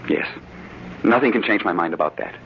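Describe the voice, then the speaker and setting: Brooding, swooping 1940s radio-drama husband. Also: male voice, on the low side, expressive, fairly quick. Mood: earnest, determined. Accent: American. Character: brooding, smooth-talking husband secretly plotting against his wife. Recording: muffled, noisy old radio broadcast recording.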